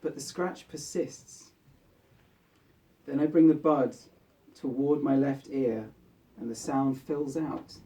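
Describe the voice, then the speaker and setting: A man's voice: medium pitch, steady tone, slow, warm tone. A man reading his own literary short story aloud at a live event. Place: bookshop book launch event. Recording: distant mic in a live room.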